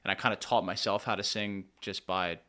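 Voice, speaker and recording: A man's voice: low voice, fairly even intonation, a little fast, bright, forceful tone. A young man from Pennsylvania, a musician guest in a podcast studio, talking in a laid-back, rambling way full of "like" and "dude". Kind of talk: casual interview chat.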